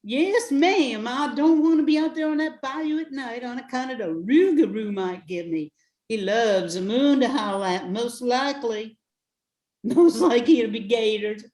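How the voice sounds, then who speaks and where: A woman's voice: fairly high pitch, lively intonation, measured pace, a little mellow, reverberant. An older woman playing a plucky, affectionate, folksy bayou mother in a radio play. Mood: wary, joking. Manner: folksy, homespun dialect.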